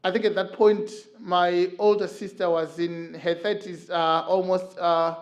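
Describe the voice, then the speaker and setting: A middle-aged man from Zimbabwe speaks in a church, his voice sitting high for a man. He talks earnestly and tells stories, with frequent filler pauses as he searches for words. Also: level tone. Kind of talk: talk on men's mental health. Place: church hall.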